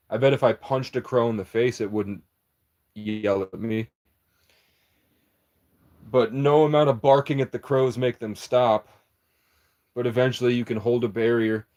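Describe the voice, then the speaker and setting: A man's voice: mid-range voice, darkish tone. A man rambling through a livestream chat in a meandering, conspiratorial monologue, often breaking off and restarting.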